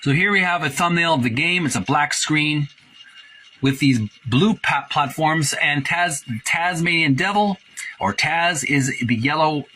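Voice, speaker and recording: A man's voice: on the high side, a little slow, sharp tone. A middle-aged man hosting a casual video, describing box art in a rambling, hesitant way with many fillers and restarts, and calling his viewers folks. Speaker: host.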